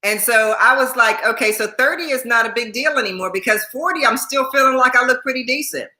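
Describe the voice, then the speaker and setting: A woman's voice: on the high side, a little fast, bright, forceful tone, reverberant, rough recording. A woman in her sixties chatting casually on a livestream, repeating herself and laughing often while thanking viewers by name. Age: 60s. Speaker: YouTube host.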